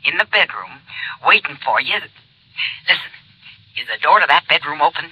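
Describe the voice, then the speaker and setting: A woman playing a gangster's wife giving urgent, hushed warnings by phone in a 1950s radio drama. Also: sits low, sing-song, normal speed, breathy edge, no echo, full character voice. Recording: muffled old radio, phone voice.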